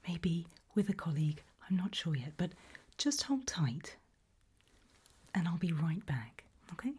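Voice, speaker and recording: A woman playing a friendly, forgetful research scientist in an ASMR roleplay, speaking in a hesitant, polite, breathy whisper. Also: on the low side, sing-song, measured pace, full character voice. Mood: reassuring. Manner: hesitant, polite, soft-spoken.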